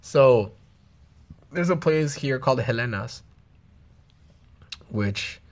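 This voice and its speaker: A man's voice: mid-range voice, lively intonation, takes it slowly. A young man from the Los Angeles area vlogging in casual chat with his girlfriend, joking and cursing freely with slang.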